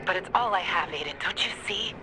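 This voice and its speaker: A woman's voice: on the low side, brisk, natural voice. A woman playing a blunt, tough young fighter. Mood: determined. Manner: urgent, blunt, swearing. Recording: noisy game cutscene audio.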